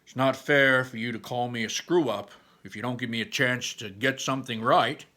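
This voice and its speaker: A man voicing a boisterous, excitable young elder brother in a read-aloud story, loud and exclamatory. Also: mid-range voice, moderate pace, close to an everyday voice. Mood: pleading.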